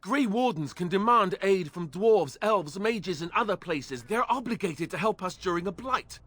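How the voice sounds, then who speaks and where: An earnest young warrior talks in a fantasy game. His pitch bounces around expressively, and the sound is dry and studio-close. He comes across as boyish, eager and a little wary. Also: expressive, moderate pace, a bit bright, no echo. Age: young adult. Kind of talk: video game dialogue acting.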